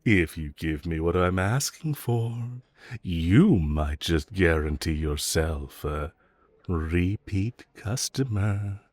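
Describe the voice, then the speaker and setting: Male actor as teasing villain for audio roleplay: lowish voice, swooping inflection, smug, scheming, flirty. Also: expressive, darkish tone, no echo, exaggerated voice. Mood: persuasive, flirty. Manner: teasing, coaxing, self-assured, drawn-out phrasing. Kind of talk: scripted audio roleplay addressed to the listener.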